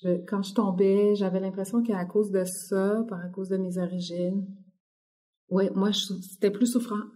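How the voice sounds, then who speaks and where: Mellow-voiced Québécois woman, hesitant. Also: medium pitch, flat delivery, moderate pace. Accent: Québécois French. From Quebec, Canada. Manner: hesitant, many fillers. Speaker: guest artist. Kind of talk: casual interview talk.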